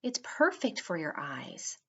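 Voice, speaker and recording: A woman narrating a guided meditation for children in a soothing, unhurried way with drawn-out phrasing. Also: on the low side, wildly swooping pitch, creaky, a bit bright, breathy edge, lo-fi sound.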